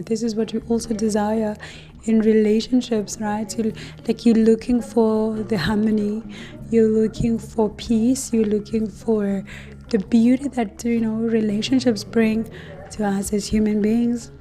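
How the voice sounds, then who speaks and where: A woman hosting an astrology talk to her viewers, hoarse and losing her voice, speaking casually with many fillers and soft laughs. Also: on the high side. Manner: slow, relaxed, many fillers and light laughs. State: losing her voice, deeper than usual. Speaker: astrology channel host.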